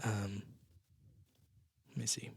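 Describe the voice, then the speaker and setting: A man's voice: slow, no echo, studio quality. Chatty man hosting a church podcast. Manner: chatty, laughs often, stumbles and restarts. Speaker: podcast host. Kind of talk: casual church podcast chat.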